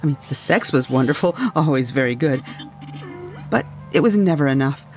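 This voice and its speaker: A woman's voice: deep voice, lively intonation, normal speed, darkish tone, soft background music. A woman playing a secretive, breezy ex-wife in a dystopian audio drama. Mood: frank, wistful.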